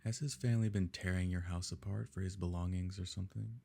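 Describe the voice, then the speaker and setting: Guy doing a rich evil noble for asmr roleplay, really deep n husky, smug, suave, talks down to you. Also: steady tone, fast talker, warm tone. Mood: mocking, condescending. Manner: suave, condescending, leisurely formal phrasing. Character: smug, wealthy evil nobleman, condescending and calculating. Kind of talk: intimate ASMR roleplay drama.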